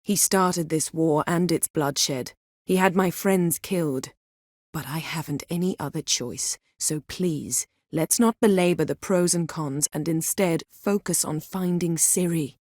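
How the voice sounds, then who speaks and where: A woman voicing a haughty, sharp-tongued sorceress in a video game, guarded yet devoted, speaking in an imperious, formal and wry manner. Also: sits low, measured pace, dry recording, studio quality. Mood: composed, earnest. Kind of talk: acted video game dialogue.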